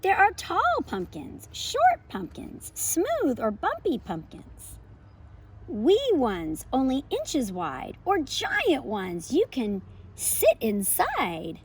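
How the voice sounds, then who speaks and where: An American woman hosting a homeschool lesson and children's read-aloud, with expressive, emphatic storybook phrasing. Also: high-pitched, takes it slowly, slight background noise. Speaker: homeschool educator and channel host.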